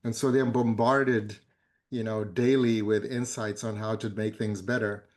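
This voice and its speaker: Low, flat-voiced man from Zambia. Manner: measured, with many hesitant fillers. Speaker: board member, guest speaker. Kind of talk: conference fireside chat.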